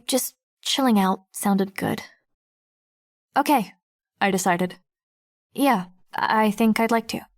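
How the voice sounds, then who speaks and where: A woman playing a guarded, observant teenage girl who narrates an audiobook in the first person, reflective and measured. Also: medium pitch, a little mellow, natural voice. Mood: hesitant, reassuring. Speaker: narrator and lead character.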